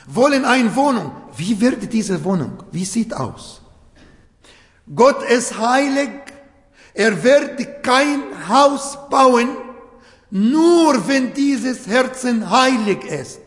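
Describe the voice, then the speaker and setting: Slow, high-voiced preacher with Arabic mother tongue. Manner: slow, emphatic phrasing with short broken clauses; non-native German grammar. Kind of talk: sermon. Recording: echoey hall.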